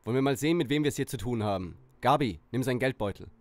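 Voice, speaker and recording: A man's voice: medium pitch, lively intonation, racing, dry recording, lightly acted. A man playing a bossy, aggressive, smug teenage leader in a parody audio play.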